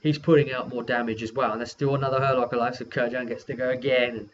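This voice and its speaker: A man's voice: medium pitch, level tone, quick pace. A man speaking as a game master, casually rambling through a recap of a session and acting out players' reactions as he goes. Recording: muffled lo-fi with background hiss.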